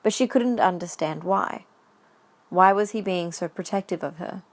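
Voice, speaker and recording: A woman's voice: on the low side, moderate pace. A woman reading scripted story narration for an audio drama.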